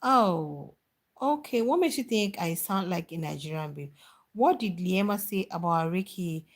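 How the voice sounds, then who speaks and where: A woman's voice: fairly low pitch, expressive, measured pace. A middle-aged woman talking casually on a live stream about a reality show, answering viewers' comments and repeating phrases for emphasis.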